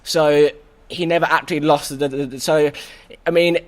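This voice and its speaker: Hoarse excitable man hosting. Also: fairly high pitch, normal speed. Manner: excitable, stammering restarts, swears. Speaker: host. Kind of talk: casual review chat.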